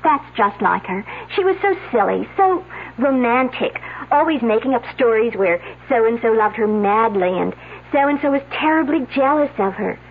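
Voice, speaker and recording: An actress playing a bright young female college student, quick and a little flustered. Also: on the high side, animated, slight background noise. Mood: eager. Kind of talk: 1970s radio drama acting. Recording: tinny old radio broadcast.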